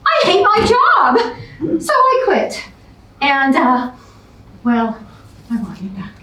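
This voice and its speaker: A woman's voice: sits high, wildly swooping pitch, slow pace, bright, forceful tone, full character voice. A woman playing a blunt, rambling, confessional ex-girlfriend in a staged musical comedy reading. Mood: remorseful, pleading. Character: blunt, gushing ex-girlfriend wanting her man back. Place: indoor stage, far from mic. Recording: echoey room, distant mic, faint noise.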